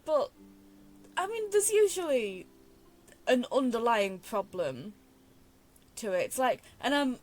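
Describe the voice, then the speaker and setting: A woman's voice: mid-range voice, low-quality recording. A young woman giving casual game commentary, earnest and hesitant as she thinks aloud. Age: young adult. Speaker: game streamer. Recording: faint game music behind. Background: game music.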